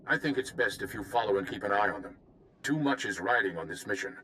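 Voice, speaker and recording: A man playing a calm, seasoned older warrior strategist in a video game, speaking in a measured, formal way. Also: on the low side, a little hiss or hum. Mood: brisk. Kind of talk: video game cutscene acting.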